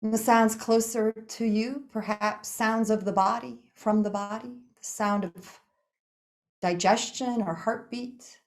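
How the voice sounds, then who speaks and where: A woman leading a guided meditation in a soothing, unhurried manner, speaking in short phrases with pauses between them. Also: a little high, fairly even intonation. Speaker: yoga nidra meditation guide.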